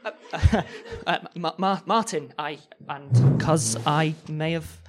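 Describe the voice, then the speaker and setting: A man's voice: fairly high pitch, takes it slowly. A man acting a nervous, bumbling young assistant in a live audio drama, stammering, apologetic and rambling. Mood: flustered, apologetic. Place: live theatre stage. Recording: noisy live stage recording.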